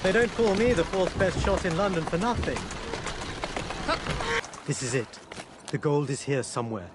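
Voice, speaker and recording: A man's voice: fairly high pitch, normal speed, heavy background noise, natural voice. A man playing a courteous, refined Indian maharaja in a video game, with an Indian accent and formal, gallant manners. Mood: intent, conspiratorial. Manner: formal, polite, gallant turns of phrase. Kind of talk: video game cutscene acting. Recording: loud music behind the voice.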